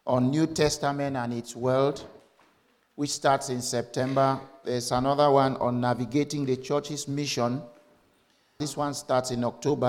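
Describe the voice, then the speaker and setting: A man with a mid-pitched voice leads a church service as its minister. He delivers welcomes, notices and readings in a formal, measured way. His phrasing is deliberate.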